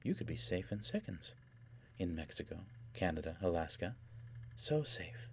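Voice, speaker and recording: A man voicing a haughty, rapturous young noblewoman in an audiobook, with a soft, husky voice and a languid, taunting delivery. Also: low-pitched, very animated, full character voice. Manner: theatrical, languid, taunting, with drawn-out words.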